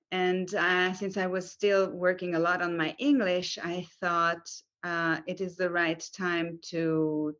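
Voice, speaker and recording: Earnest actress, dull lo-fi audio. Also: female voice, on the low side, fairly bright tone. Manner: determined, earnest, restarts sentences. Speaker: actress, podcast guest. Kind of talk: interview storytelling.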